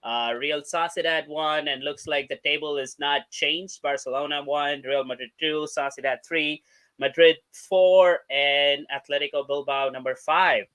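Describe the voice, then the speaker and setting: An upbeat man hosts a casual sports podcast, his voice bright and a touch high. He keeps things moving, tossing quick questions to his co-hosts. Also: fairly even intonation, clean tone. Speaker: podcast host.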